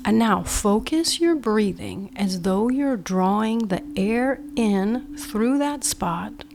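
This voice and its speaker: A woman guiding a meditation with measured instructions, in short phrases that often end hushed. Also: medium pitch, vocal fry, background noise. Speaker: meditation guide. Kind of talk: slow guided meditation, instructional.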